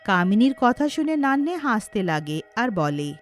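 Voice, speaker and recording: A woman's voice: a little high, big pitch swings, unhurried, soft background music. A woman narrating a scripted cartoon story in a dramatic storytelling style.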